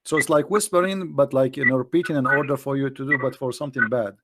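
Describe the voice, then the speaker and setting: A man whose first language is Arabic, debating casually, animated and teasing, pressing his points and pushing his voice up for emphasis. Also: medium pitch, fast, heavy background noise.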